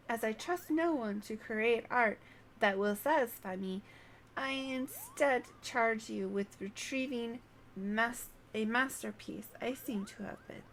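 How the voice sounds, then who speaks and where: Woman as a pompous little moogle painter, measured pace. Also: on the high side, slight background noise, poor audio. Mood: boastful. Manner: pompous, grandiose wording. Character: self-important moogle painter, small boastful artistic creature.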